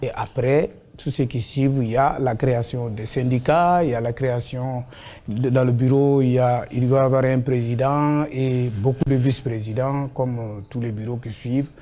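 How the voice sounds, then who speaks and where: A man speaking in a documentary interview, explaining things with many pauses and fillers. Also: medium pitch, steady tone, warm tone.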